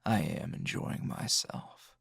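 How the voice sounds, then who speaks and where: A man playing a gruff, flirtatious male anime hero in an intimate ASMR roleplay, gravelly and husky, teasing and halting. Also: fairly bright tone, dry recording, clean studio sound. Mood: flustered, flirtatious. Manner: slow, teasing, halting with sighs and chuckles.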